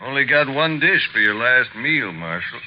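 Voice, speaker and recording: An actor playing a brutish, mean outlaw in a vintage radio western, with a menacing drawl. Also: male voice, mid-range voice, expressive, moderate pace, natural voice. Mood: taunting. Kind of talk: 1950s radio western acting. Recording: dull, noisy old radio recording.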